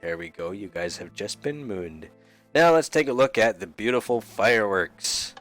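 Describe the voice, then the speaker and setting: A man's voice: fairly low pitch, expressive, moderate pace, fairly bright tone, faint music behind. A man hosting a casual game review channel, goofy and rambling, a little sick and sniffly today. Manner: goofy, rambling, jokey, affectionate toward viewers. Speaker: YouTube host reviewing game resource packs. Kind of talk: casual gameplay commentary.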